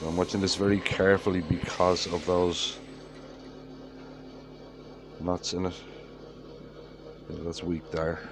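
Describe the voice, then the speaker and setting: Low-voiced Irish man with a head cold. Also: little pitch movement, slow pace, pauses often. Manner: plain-spoken, matter-of-fact. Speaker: woodturner. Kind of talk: workshop narration. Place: woodturning workshop. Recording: workshop noise behind voice.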